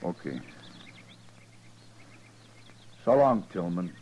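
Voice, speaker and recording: Husky, low-voiced Western lynch leader. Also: male voice, big pitch swings, highs cut off, exaggerated voice. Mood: businesslike. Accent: American Western drawl. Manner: matter-of-fact, unhurried, folksy. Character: grim, folksy rancher leading a lynching in the Old West. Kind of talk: 1950s American radio western acting.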